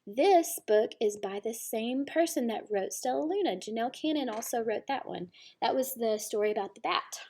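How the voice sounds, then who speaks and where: Female teacher, slightly high voice, storybook read-aloud for young children, explanatory delivery. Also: lively intonation, normal speed, hardly any pauses, a little mellow.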